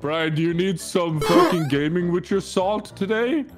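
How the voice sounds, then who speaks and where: A man's voice: high voice, animated, moderate pace, over loud music. A man in casual gaming banter with friends, playful and sweary.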